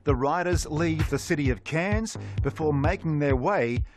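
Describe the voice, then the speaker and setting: A man's voice: fairly high pitch, animated, unhurried. Male sports documentary narrator, upbeat scripted read, music underneath. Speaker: race commentator.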